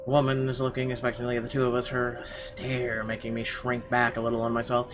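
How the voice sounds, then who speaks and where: A man's voice: medium pitch, completely flat delivery, fast talker. A man doing a let's play, reading visual novel narration aloud expressively with occasional stumbles. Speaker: let's player. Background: music.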